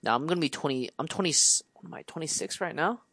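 A man's voice: fairly high pitch, fast talker, gravelly, fairly bright tone, no echo. Chatty man in his twenties, dry close sound. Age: 20s. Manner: chatty, rambling with restarts and laughs. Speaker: podcast co-host. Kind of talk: casual chat.

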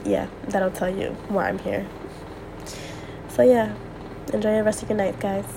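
A woman's voice: mid-range voice, flat delivery, unhurried, gravelly, noisy background. A young woman hosting a personal podcast, talking candidly and repeating herself as she vents late at night while getting over a cold. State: recovering from a cold. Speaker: podcast host.

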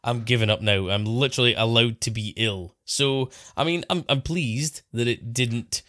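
English male co-host, animated, wry storyteller, medium pitch, dry close-miked recording. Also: moderate pace, no echo. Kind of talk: casual podcast chat.

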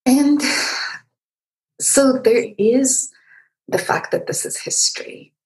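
A woman from Egypt conducting a literary interview, speaking reflectively and feeling her way through halting phrases. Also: high-pitched, expressive, darkish tone, low-quality recording.

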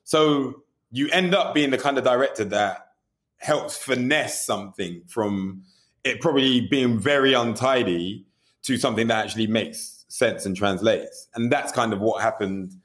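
A man's voice: mid-range voice, normal speed, fairly bright tone. A man being interviewed about directing music videos, talking candidly and animatedly, often asking "you know what I mean" and swearing casually.

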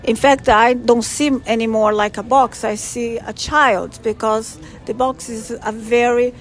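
A woman with a Brazilian Portuguese accent speaks in English as a second language, earnest and a little halting, pausing on fillers as she searches for words. Her voice sits somewhat high for a woman. She talks as a church volunteer in an interview. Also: fairly even intonation, soft background music. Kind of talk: podcast interview.

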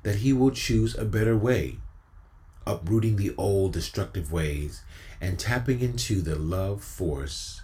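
A man's voice: on the low side, lively intonation, unhurried, dark tone. A man reciting spoken-word poetry like a preacher, with a solemn, exhortative, rhythmic delivery and emphatic repetition.

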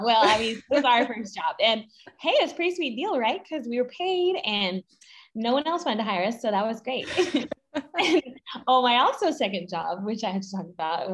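A woman in her thirties, raised in Guatemala and Mexico, chatting as a podcast guest, bubbly and rambling with frequent laughter. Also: fairly high pitch. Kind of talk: casual interview chat.

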